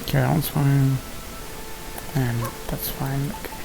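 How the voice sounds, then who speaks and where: A man's voice: mid-range voice, little pitch movement, measured pace, creaky, rough recording. A man giving casual gameplay commentary, muttering to himself in an understated way. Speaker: gaming video host. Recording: game music behind the voice. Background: video game music.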